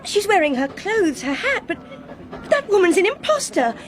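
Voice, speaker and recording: An actress playing an earnest, highly strung young Englishwoman in a radio drama, with an English accent. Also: sing-song, moderate pace, a faint crowd, slight background noise. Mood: indignant, frantic. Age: young adult.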